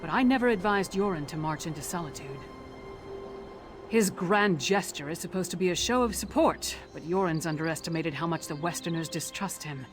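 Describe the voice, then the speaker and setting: A woman's voice: fairly low pitch, expressive, a little fast, lightly acted. A woman voicing a tough warrior companion in a video game, with a dry, wry, no-nonsense delivery over game music.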